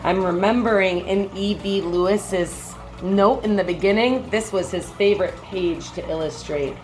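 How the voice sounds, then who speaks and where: A woman reading a children's picture book aloud like a teacher, with measured, expressive phrasing and brief comments. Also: mid-range voice, rough recording.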